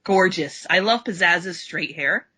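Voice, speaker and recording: Woman cohost, bright but kinda raspy, gushy and excited, casual chat. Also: on the low side, measured pace. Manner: gushing, enthusiastic. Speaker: podcast co-host.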